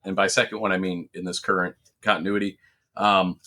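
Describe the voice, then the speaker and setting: A man's voice: low voice, flat delivery, brisk, bright, forceful tone. A middle-aged man chatting casually about pop culture as a podcast co-host, rambling and telling stories with some swearing.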